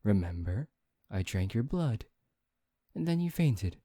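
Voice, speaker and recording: A performer voicing a smug, teasing high-ranking vampire in an ASMR roleplay, theatrical and coaxing, with playful menace and mock politeness. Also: big pitch swings, unhurried, mellow, no room sound, clean studio sound.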